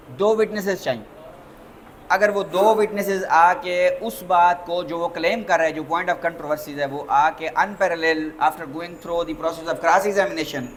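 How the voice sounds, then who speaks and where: A man's voice: high voice, a bit bright, slight background noise. A man with a Pakistani accent giving an interactive lecture as a lawyer, repeating points and asking rhetorical questions, mixing in English terms.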